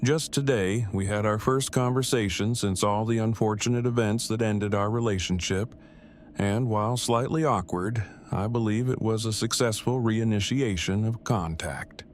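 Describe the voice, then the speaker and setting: A man's voice: on the low side, moderate pace, slight background noise, lightly acted. A man playing a wordy, self-deprecating, heartbroken nerd who speaks in stilted formal phrases in an audio drama. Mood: formal, measured.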